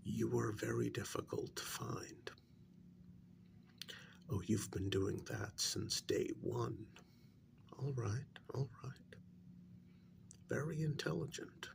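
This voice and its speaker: A man playing a calm government agent interrogating a captured werewolf in a soft-spoken ASMR role-play, breathy and often near a whisper, measured and quietly coaxing. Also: lowish voice, full character voice. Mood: measured, patronizing.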